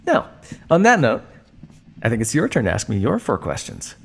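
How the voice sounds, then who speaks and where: Male actor as vampire scientist captor for audio roleplay: creaky, swooping delivery, smug and theatrical, some hiss on the recording. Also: medium pitch, wildly swooping pitch, full character voice. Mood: irritated, cold. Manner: smug, measured, teasing, theatrical. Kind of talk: ASMR roleplay monologue addressed to listener.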